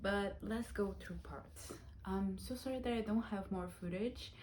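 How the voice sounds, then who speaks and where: A woman in her thirties from Brazil, telling her own life story in a reflective, soft-spoken vlog, with Portuguese as her first language. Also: medium pitch, a little slow, a little hiss or hum. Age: 30s. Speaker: vlogger.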